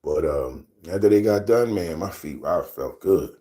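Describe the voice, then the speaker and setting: A man in his thirties with a deep voice, telling loose, rambling stories to his camera as a casual vlog and laughing often. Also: expressive, unhurried, dark tone. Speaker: vlogger.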